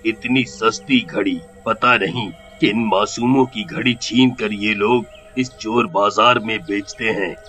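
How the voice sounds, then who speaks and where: A man doing a sly, moralizing ghost in an animated storybook, with a low, bright, edgy voice. He muses at a very slow pace and chuckles to himself, with faint music behind. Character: male ghost, sly and moralizing, roaming the market.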